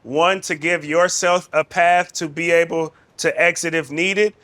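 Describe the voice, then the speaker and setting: Bright, higher-pitched man giving training instruction. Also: steady tone, measured pace. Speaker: de-escalation trainer.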